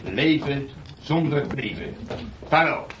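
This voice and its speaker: Courteous, resolute wanderer, medium pitch, radio play with audible noise. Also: male voice, lively intonation, slow pace. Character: calm resolute male travelling brother, courteous, bold. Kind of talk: radio drama acting. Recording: noisy, distant radio play recording.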